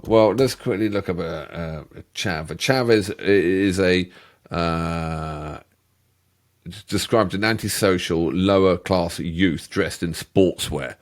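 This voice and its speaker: A man's voice: low voice, slow. A middle-aged man, co-hosting a reaction video, joking and laughing often in casual banter.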